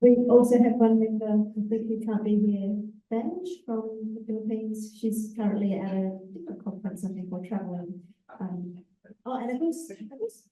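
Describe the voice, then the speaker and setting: A woman, an executive officer, giving a relaxed conference presentation with fillers and self-corrections. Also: medium pitch, moderate pace. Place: large conference hall. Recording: echoey hall, voice far from the mic.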